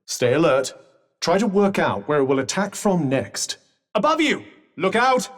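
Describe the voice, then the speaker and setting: A sardonic talking magic cuff from a video game, with a British accent and a fairly high-pitched voice. It gives urgent combat advice in eloquent, dryly witty phrasing. The voice sits a little away from the mic. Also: male voice, animated, normal speed, distant mic. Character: talking magic cuff, dry witty male battle companion. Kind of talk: video game companion voice lines.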